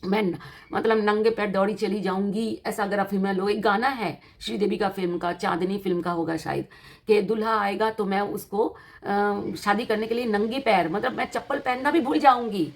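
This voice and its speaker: A woman's voice: mid-range voice, moderate pace. A woman with an Indian accent and a slightly worn voice gives an informal tarot reading, explaining each point in a teacherly, encouraging way and often repeating and rephrasing it. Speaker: tarot card reader and host.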